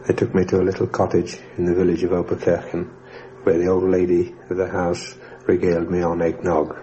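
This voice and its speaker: A man with a very low, flat-pitched voice tells his own story in a measured, matter-of-fact way. It is a first-person account for a radio documentary, on an old recording with some hiss. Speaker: air crash survivor recounting his wartime experience. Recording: dull, hissy 1960s radio documentary recording.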